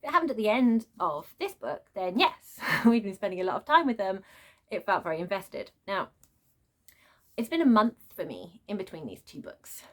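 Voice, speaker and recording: A woman with a mid-pitched voice talks through a book in a casual, unscripted vlog style. She sounds exasperated, dropping in animated asides as she airs her complaints as a reviewer. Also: moderate pace.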